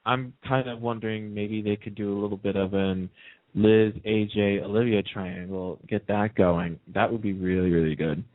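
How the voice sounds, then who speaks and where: Male radio host, lowish voice, gossipy and opinionated casual chat, very narrow phone-like sound. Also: fairly even intonation, moderate pace, no room sound. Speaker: radio talk show host. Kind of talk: casual chat about TV soaps.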